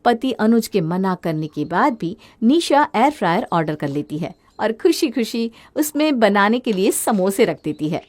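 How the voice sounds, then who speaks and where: A woman's voice: medium pitch, very animated, moderate pace, hardly any pauses, a little mellow. A woman narrating a scripted domestic moral story as a storyteller.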